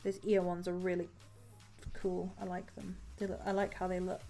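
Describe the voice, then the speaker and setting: A woman's voice: on the low side, completely flat delivery, moderate pace, dark tone, soft background music. A woman streamer chatting casually with her viewers while she cross-stitches, rambling and laughing often.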